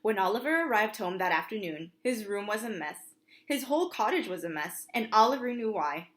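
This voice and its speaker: A woman's voice: mid-range voice, lively intonation, a little fast, fairly bright tone. A woman preschool teacher reads a storybook aloud for young children, expressively, with simple asides to her listeners.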